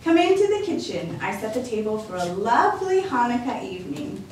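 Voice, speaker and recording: A woman's voice: a little high, big pitch swings, fairly quick, darkish tone. A woman voicing a kindly, cheerful old grandmother who talks with fond teasing, in an echoey room away from the mic. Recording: echoey room, mic at a distance, faint noise.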